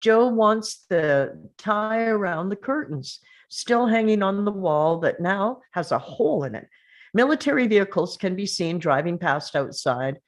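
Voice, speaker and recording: A woman narrating the action lines of a screenplay at a table read, steady and measured. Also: fairly low pitch.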